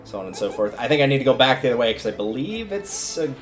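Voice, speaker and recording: A man's voice: mid-range voice, sing-song, quick pace. A man livestreaming a video game, giving casual commentary and thinking aloud in a conversational way as he plays. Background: video game music.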